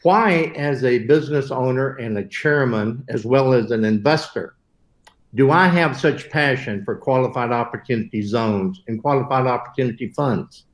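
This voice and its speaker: An older man in a middle-pitched voice. He gives an earnest webinar talk and sometimes stops to correct himself. The sound is roomy and echoey. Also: moderate pace. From southern United States. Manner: earnest, with occasional self-corrections. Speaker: webinar host. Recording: roomy echo.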